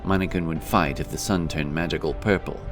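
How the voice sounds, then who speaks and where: A man narrates a scripted fantasy tale in a very low voice. Music plays clearly beneath the reading, as in a produced audiobook. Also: fairly even intonation, brisk.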